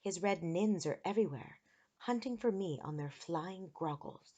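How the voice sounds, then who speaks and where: Woman as a hushed, brave young princess. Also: expressive, moderate pace. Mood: hushed, wary. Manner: hushed, low-key, serious delivery. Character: secretive young princess girl, calm, brave, urgent.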